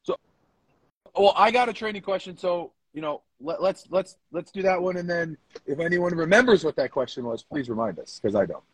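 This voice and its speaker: A man's voice: sits high, lively intonation, brisk, husky, low-quality recording. A man co-hosting a podcast in a laid-back, informal, bantering way. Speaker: podcast co-host. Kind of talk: casual chat with banter.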